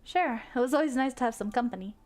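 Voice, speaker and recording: A woman livestreaming visual novels, switching between excitable gushing reactions and expressive read-aloud narration. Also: fairly high pitch, quick pace. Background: game music.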